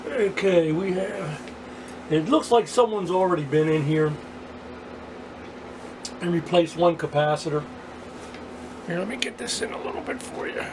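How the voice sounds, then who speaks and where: A man with a slightly high voice talks through a hands-on repair in a casual way. He often stops mid-sentence before picking the thought up again. Clear background noise runs through the amateur recording. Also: animated. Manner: halting, pausing mid-sentence. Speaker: vlogger repairing vintage radios.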